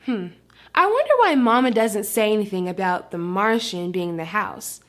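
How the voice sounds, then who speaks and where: A woman reading a story aloud to young children, expressive and instructive, pausing to ask questions as a teacher. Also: mid-range voice.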